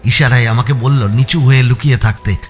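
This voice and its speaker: A man's voice: mid-range voice, fairly even intonation, brisk, soft background music. A man with an Indian Bengali accent giving a dramatic first-person audiobook narration of a story.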